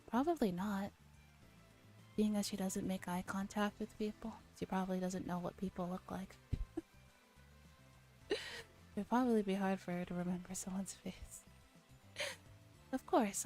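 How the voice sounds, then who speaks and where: Female ASMR streamer, gentle narrated read-aloud that slips into whispers, light music underneath. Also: medium pitch, moderate pace, long pauses, low-quality recording. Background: background music.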